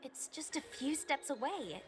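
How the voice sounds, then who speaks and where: A woman voicing a brave young female knight who speaks briskly and reassuringly. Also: sits high, animated. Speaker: game character. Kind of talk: video game voice acting. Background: game music.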